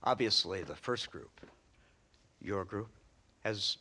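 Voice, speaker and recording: A man's voice: on the low side, lively intonation, bright, forceful tone, husky, heavily acted. An actor playing a smug, menacing government lawman with an American accent in a game cutscene, talking with condescending, mock-polite wryness. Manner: condescending, mockingly polite, drawn-out wry phrasing. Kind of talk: dramatic video game cutscene acting.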